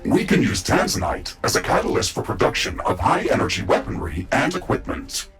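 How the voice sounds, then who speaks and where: A man playing a calm, dutiful mecha suit AI in a video game, speaking formally and with measure through a robotic filter. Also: mid-range voice, a little fast, gravelly, soft background music. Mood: matter-of-fact. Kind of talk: scripted video game dialogue.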